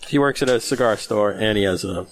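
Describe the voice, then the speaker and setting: Quick-talking host, brisk, moves the chat along. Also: male voice, on the low side. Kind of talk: casual chat.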